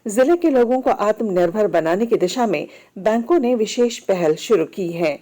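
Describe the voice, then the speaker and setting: Mellow woman reading formal radio news. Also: mid-range voice, lively intonation, normal speed. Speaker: news reader.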